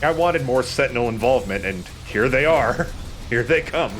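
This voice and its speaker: A man's voice: medium pitch, animated, moderate pace, fairly bright tone, faint music behind. A man giving casual commentary over a video game, talking in a conversational let's play style. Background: video game sound.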